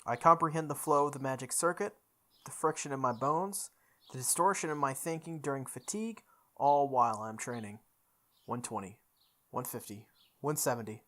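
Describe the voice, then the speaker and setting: A woman playing through a visual novel, reading its text aloud with expressive, goofy casual commentary, sometimes correcting her stumbles. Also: very deep voice, moderate pace. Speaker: host of a visual novel playthrough.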